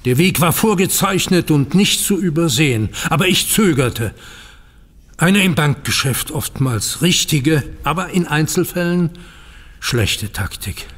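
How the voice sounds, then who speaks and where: An actor playing a dutiful, aggrieved banker, formal and earnest, in a German audio drama. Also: male voice, fairly high pitch, expressive, a little slow, a bit bright, big-room sound. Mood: regretful.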